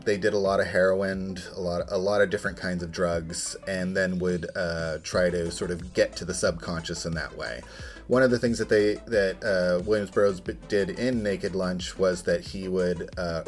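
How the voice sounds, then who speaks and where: A man co-hosting a podcast, explaining things casually in a halting, loosely rambling way. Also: deep voice. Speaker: podcast co-host.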